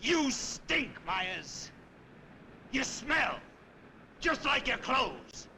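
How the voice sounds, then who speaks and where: A man's voice: very high voice, big pitch swings, extremely slow, pushed and bright, heavily acted. An actor playing an angry, defiant American hostage in a noir film, throwing bitter contempt at his captor. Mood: bitter defiance. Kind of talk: 1950s film noir acting. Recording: faintly noisy old film soundtrack.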